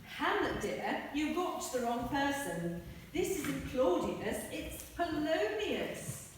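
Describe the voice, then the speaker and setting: A woman's voice: sits high, very animated, far from the mic, exaggerated voice. A woman playing Gertrude, a coy queen mother. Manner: slow, coy, evasive. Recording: echoey room, picked up from afar.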